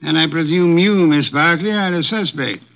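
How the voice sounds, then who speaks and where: An actor playing a gruff, pompous male detective in a 1950s radio drama, clipped and dismissive. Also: on the high side, normal speed. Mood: stern. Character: portly imperious male detective, gruff and fussy. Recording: dull old radio broadcast.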